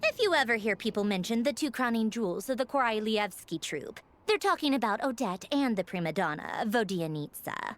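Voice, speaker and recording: Actress as teasing, self-assured female engineer boss, game character. Theatrical delivery, highly varied intonation. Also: animated, fairly quick, few pauses, lightly acted. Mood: playful, admiring. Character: breezy, teasing female Fatui engineer with authority. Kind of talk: video game voice acting.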